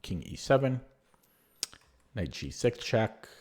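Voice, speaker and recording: A man going over one of his own chess games move by move in a relaxed, rambling way, with a voice that is going hoarse. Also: mid-range voice, slow. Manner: rambling, many fillers and restarts. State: losing his voice. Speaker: chess player reviewing his own game. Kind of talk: casual spoken chess analysis.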